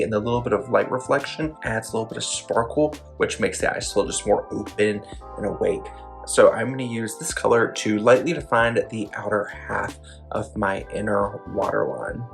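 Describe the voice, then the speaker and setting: A man narrating a makeup tutorial, gently explaining each step in detail. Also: creaky. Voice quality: describes own voice as deep.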